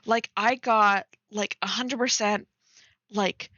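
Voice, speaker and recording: A young woman bantering casually with a friend on a livestream, giggly, excitable and teasing. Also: medium pitch, slow pace, no echo, dull audio. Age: young adult. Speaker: streamer.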